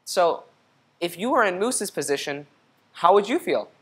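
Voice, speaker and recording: A man's voice: high voice, expressive, normal speed, breathy edge. Young guy doing kids storytime, teacher-like, talks right to the little listeners. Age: young adult. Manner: instructive, addressing young children. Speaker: storybook reader. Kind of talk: read-aloud children's storytelling with questions to the listener. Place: indoor studio room. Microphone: lapel mic.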